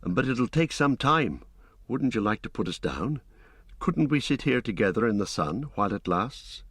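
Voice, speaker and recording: A man's voice: medium pitch, big pitch swings, normal speed, a bit bright, slightly rough, no echo, clean studio sound. A man voicing a polite, earnest young hobbit in an audiobook, asking careful questions.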